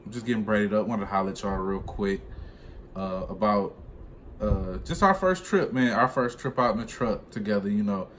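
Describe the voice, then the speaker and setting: A man's voice: fairly low pitch, moderate pace. A young man who drives trucks, telling a personal story in a casual, slangy, conversational way for a vlog. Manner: slangy, conversational, animated retelling. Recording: clearly noisy room ambience.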